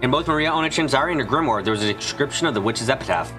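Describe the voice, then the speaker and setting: A man reading a visual novel aloud as an expressive fan dub, narrating and voicing dialogue with the odd stumble. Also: mid-range voice, very fast, music in the background.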